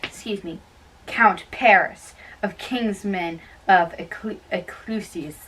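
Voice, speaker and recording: A woman doing an amateur dramatic reading of a play, haltingly, often repeating and correcting her lines. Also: mid-range voice, a bit bright, slightly rough, distant mic, low-quality recording.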